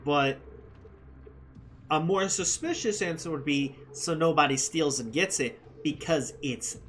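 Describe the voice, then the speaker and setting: A streamer giving casual let's-play commentary, playful and slangy, reacting out loud. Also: mid-range voice, measured pace, a little hiss or hum. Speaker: gameplay streamer. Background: game music.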